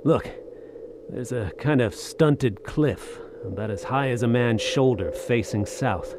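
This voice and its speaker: An actor playing a weary, hard-bitten soldier of fortune in an audio drama, speaking in folksy old-fashioned slang with grim resignation. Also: male voice, mid-range voice, slow pace, background noise. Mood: exhausted.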